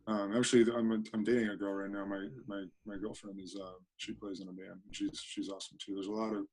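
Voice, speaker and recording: A young man with a deep, low voice talks in a relaxed, easygoing way. He hesitates and repeats words as he thinks aloud in casual podcast chat. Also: fast talker, poor audio. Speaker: podcast guest, punk band singer.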